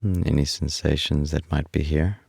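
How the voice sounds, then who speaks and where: Very deep-voiced man guiding meditation, gravelly. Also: no echo, clean studio sound. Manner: calm, unhurried, soothing instruction with long pauses. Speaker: meditation guide. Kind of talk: guided body scan meditation.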